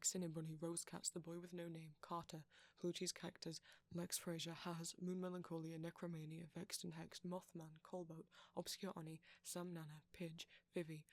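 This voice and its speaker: A man softly reading out a long list of supporter names as an audio roleplay creator, sometimes dropping into a whisper. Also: a little high. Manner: soft-spoken, slipping into whispers at times.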